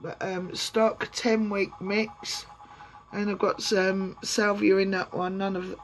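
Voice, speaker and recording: A woman with a gruff voice from a sore throat, chatting casually and rambling with many ums as she shows round her greenhouse on camera. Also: medium pitch, level tone, slow pace, poor audio. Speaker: allotment vlogger. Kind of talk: casual walk-through chat. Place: greenhouse on an allotment, outdoors. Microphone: camera on a tripod. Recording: outdoor noise, lawnmowers and traffic behind.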